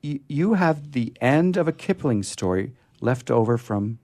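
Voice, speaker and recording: A man hosts a radio programme in a casual, chatty way. His mid-pitched voice swings widely up and down, and he rambles with halting turns. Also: animated, darkish tone. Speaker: radio show host. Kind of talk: casual hosting chat.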